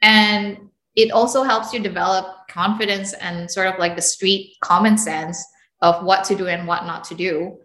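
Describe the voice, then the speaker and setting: A young woman from Indonesia chats as a podcast guest, her voice bright and clear. She tells her stories with animation and laughs often. The sound carries the echo of a reverberant room. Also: medium pitch, moderate pace. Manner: animated storytelling, frequent laughs. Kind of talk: casual interview chat.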